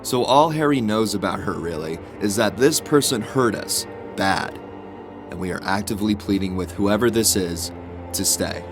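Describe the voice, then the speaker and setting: A man's voice: lowish voice, moderate pace. A man reading a reflective, confessional personal video essay as a scripted narration. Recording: guitar music behind the voice. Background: guitar music.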